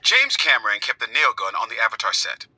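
A man playing a self-important, menacing theatre producer with a forceful, sardonic delivery. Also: on the low side, huge pitch swings, quick pace, breathy edge, no room sound, full character voice. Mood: menacing, boastful. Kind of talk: scripted audio comedy acting.

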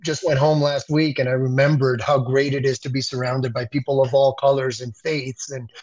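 A man's voice: mid-range voice, level tone, normal speed, darkish tone, lo-fi sound. A man from the Dominican Republic, a communications expert speaking earnestly as a guest in a political interview, often restarting and repeating words.

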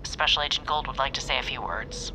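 Earnest detective at a press briefing: piercing, official delivery, deliberate, slight rumble behind. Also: female voice, sharp tone. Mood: grave, formal. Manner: formal, firm, deliberate. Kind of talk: press briefing speech in audio drama. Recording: radio news clip with faint rumble.

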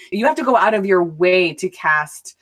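A woman from Arizona and Southern California who teaches, talking in casual conversation in an emphatic, animated way with frequent restarts. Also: mid-range voice.

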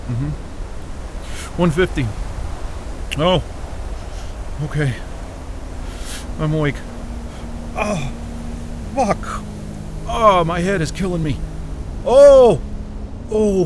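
A man playing an irritable, sweary young astrophysicist in a naturalistic audio drama, talking in a grumbling, halting way. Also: high-pitched, animated, noisy background. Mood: groggy, confused. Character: groggy, irritable, freezing young male astrophysicist.

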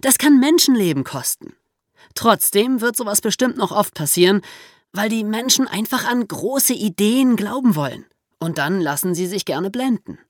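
Lively, swooping female co-host. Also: medium pitch, moderate pace, no echo, studio quality. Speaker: podcast co-host. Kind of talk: scripted discussion.

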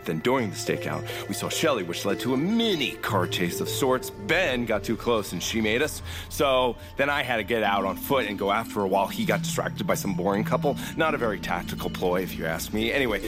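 A man's voice: medium pitch, lightly acted. A man playing a cocky, childish bounty hunter in an audio drama, flippant and chatty.

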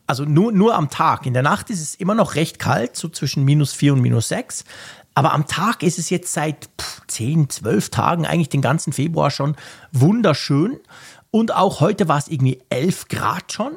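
Man, slightly hoarse, gravelly and breathy, chatty co-host, laughs easily. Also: a little high, animated, normal speed. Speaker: podcast co-host. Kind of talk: casual chat.

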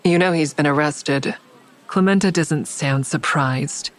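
A woman's voice: deep voice, measured pace. A woman playing a guilt-ridden, weary heroine who narrates her own story in an audio drama, blunt and subdued. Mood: guarded, probing. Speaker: narrator and lead actor. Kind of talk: audio drama first-person narration and dialogue.

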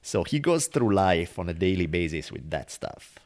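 A man's voice: low voice, big pitch swings, moderate pace, a little mellow. A man with an Italian accent talking casually and reflectively, in an earnest, emphatic way with some swearing. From Italy.

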